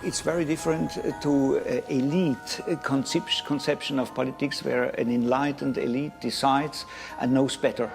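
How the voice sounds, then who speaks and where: A man speaking haltingly in a documentary interview. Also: mid-range voice, fairly even intonation, vocal fry. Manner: halting, with frequent "uh". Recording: documentary interview with loud music behind.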